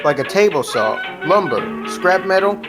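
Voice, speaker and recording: A woman's voice: lowish voice, very animated, normal speed, music in the background. A teenage young woman, a student presenter, reading a scripted fundraising announcement.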